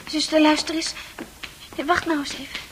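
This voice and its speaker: A woman's voice: high-pitched, flat delivery, moderate pace, fairly bright tone, slight background noise. A woman playing a bewildered young psychiatric patient in a radio drama, anguished and stammering as she repeats herself. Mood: confused, hesitant.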